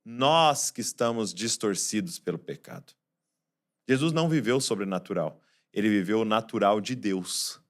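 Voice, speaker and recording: A man preaching a Christmas sermon, shifting between soft, low passages and loud, raised ones, full of rhetorical questions. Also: lively intonation, moderate pace.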